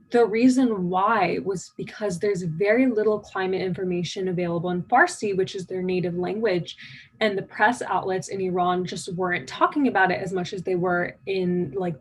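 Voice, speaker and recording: A woman's voice: medium pitch, normal speed, poor audio. A nineteen-year-old woman from the United States giving a conversational conference keynote, eager and full of fillers. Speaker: keynote speaker.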